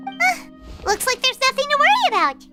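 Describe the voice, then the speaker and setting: A woman's voice: extremely high pitch, sing-song, brisk, pushed and bright, faint music behind, full character voice. A woman voicing a small, bubbly, curious female fairy companion in a video game. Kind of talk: game voice acting.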